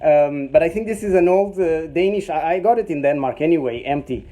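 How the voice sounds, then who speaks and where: Portuguese guy in his 30s giving a chill phone tour, lots of uhs, a bit high but mellow voice. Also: brisk. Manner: hesitant, many fillers and restarts, chuckles often. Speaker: host showing his home print workshop. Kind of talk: casual live-stream tour. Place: small home machine room.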